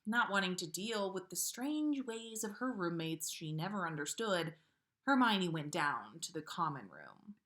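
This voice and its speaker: A woman hosting a story livestream, speaking in an informal, expressive way as she chats and reads fiction aloud. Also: lowish voice, unhurried. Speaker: podcast host reading listener stories. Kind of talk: casual livestream chat, then storybook read-aloud.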